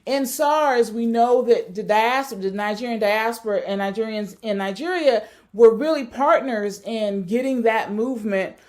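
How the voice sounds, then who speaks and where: A woman's voice: a little high, moderate pace, few pauses, a little mellow. A woman giving a thoughtful research talk, searching for words and often correcting herself. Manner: thoughtful, searching, many fillers and self-corrections. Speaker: academic speaker.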